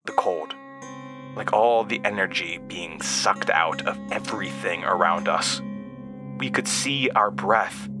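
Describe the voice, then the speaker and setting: A male narrator tells a first-person horror story. He speaks slowly and with lively intonation, pausing dramatically, with music clearly behind him. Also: mid-range voice. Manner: deliberate, halting, dramatic pauses.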